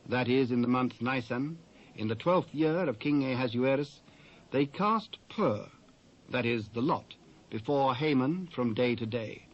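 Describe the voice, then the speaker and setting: A British man narrating scripture in a solemn, measured, dramatised style. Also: mid-range voice. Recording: dull old cassette recording.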